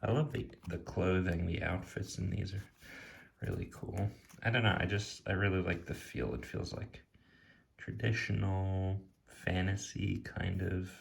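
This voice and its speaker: A man speaking softly and casually in ASMR style, sometimes near a whisper, musing off the cuff as he reviews something. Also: sits low, gravelly. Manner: hushed, sometimes dropping to a whisper; casual, laid-back musing. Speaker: tarot deck reviewer. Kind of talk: soft-spoken ASMR, impromptu commentary.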